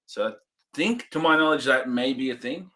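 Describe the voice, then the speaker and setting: A man with a bright, forward tone, co-hosting a casual film review. He weighs his words and qualifies his views as he talks. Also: mid-range voice. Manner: measured, hedging opinions. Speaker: movie review co-host.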